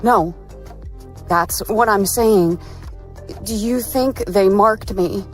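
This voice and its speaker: Slow, tipsy, vulnerable woman played by an actress. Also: mid-range voice, soft background music, natural voice. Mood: vulnerable, flirty.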